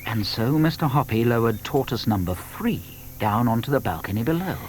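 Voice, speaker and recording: A British man narrating a children's audio drama as a wry, measured storyteller. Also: mid-range voice, lively intonation, slight background noise, poor audio.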